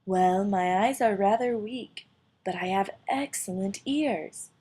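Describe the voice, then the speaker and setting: A woman voicing a sweet, gentle little male mouse in a storybook, soft and kindly in manner. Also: mid-range voice, takes it slowly, husky. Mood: sweet, sincere.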